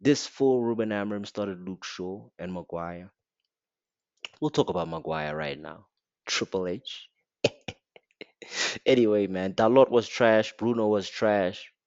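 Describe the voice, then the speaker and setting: A man's voice: lowish voice, expressive, measured pace, long pauses, darkish tone, no room sound, dull audio. A man with an African accent giving a blunt, slangy, mocking rant as a football fan reviewing a match. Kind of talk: casual rant to camera.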